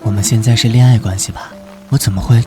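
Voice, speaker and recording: A male actor voicing an earnest, good-natured, easily flustered police detective in an animated drama. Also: on the low side, fast talker, music in the background, close to an everyday voice. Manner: conciliatory, earnest.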